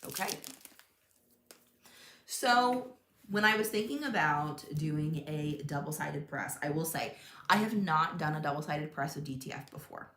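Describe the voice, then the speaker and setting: A young woman hosting a live crafting stream from her home craft room, chatting casually, thinking aloud, laughing often and reading out viewer comments in a loud voice. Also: low voice, expressive, unhurried, echoey room. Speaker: crafting livestream host. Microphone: tablet mic across the room.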